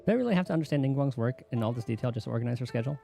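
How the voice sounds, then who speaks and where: A man's voice: medium pitch, animated, racing, vocal fry, music in the background. A man giving casual, rambling gameplay commentary as a streamer, in an offhand way. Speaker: game streamer.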